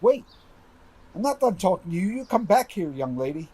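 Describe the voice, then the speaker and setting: Actor as an animated, earnest widower. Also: male voice, sits high, normal speed, breathy edge, exaggerated voice. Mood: angry. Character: earnest grieving widower, excitable and easily agitated man. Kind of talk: radio drama acting.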